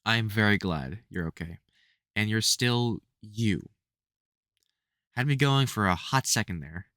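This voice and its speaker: A man's voice: lowish voice, expressive, a little slow, pushed and bright, dry recording. Man acting a protective vampire hunter, close and dry. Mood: tender, relieved. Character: gruff but soft-hearted male vampire hunter, protective mentor. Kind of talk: intimate audio roleplay acting addressed to the listener.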